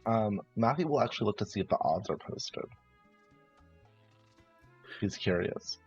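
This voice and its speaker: Low, slightly muffled male role-player. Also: lively intonation, unhurried, husky, soft background music. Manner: tentative, wordy, full of "like". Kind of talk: casual third-person description of his character.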